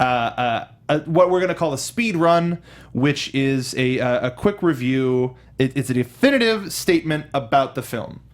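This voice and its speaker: A man co-hosting a movie review show in a casual, animated way, throwing in mock outbursts. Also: mid-range voice. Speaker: co-host of a film review show. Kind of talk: casual film discussion.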